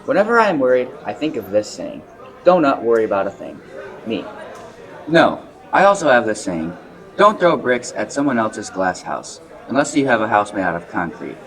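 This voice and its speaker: A man's voice: fairly low pitch, sing-song, normal speed, a bit bright. A man playing a goofy, earnest diner owner in a student radio play. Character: goofy, clueless male donut diner owner.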